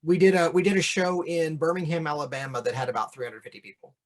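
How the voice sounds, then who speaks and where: Higher-voiced man recalling stories. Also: steady tone. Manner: measured, storytelling with small repeats. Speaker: guest. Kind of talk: casual chat.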